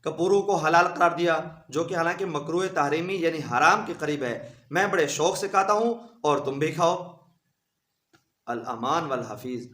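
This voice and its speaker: A man's voice: a little high, moderate pace, fairly bright tone. A man, an Islamic cleric with a sore throat, giving an indignant, emphatic rebuttal speech. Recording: echoey room.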